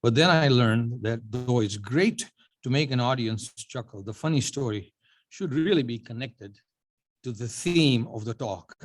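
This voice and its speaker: A man in his seventies from Uganda giving a prepared acceptance speech, telling his story in a measured way with dry, self-deprecating humor. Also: mid-range voice, expressive. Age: 70s. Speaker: award honoree giving a speech.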